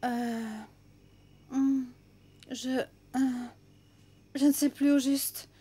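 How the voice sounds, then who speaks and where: A woman's voice: high-pitched, little pitch movement, drawn-out words, mellow. A woman voicing a cheerful, easily flustered mother elephant in a children's cartoon, reassuring and forgetful. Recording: music behind the voice.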